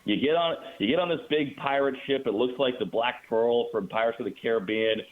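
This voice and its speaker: A man's voice: medium pitch, brisk. A man, a hockey announcer, guesting on a sports show by phone, chatting casually with dry, playful humor. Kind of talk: casual joking interview chat. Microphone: phone call. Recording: thin, narrow phone line.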